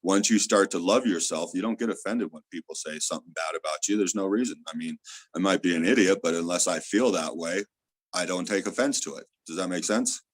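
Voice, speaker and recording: A man with a loud voice, a theta healer, earnestly explaining his spiritual views in a casual interview. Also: low-pitched, brisk, dry recording. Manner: earnest, explaining with many fillers.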